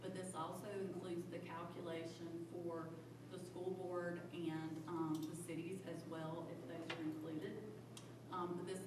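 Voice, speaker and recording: A woman's voice: fairly low pitch, noisy background. A woman, a county finance director, walks through tax figures at a public hearing in a plain, methodical way, with frequent "um" fillers. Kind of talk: budget presentation at public hearing. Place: county commission meeting room. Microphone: room recording from far off. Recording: echoey hall recorded from a distance.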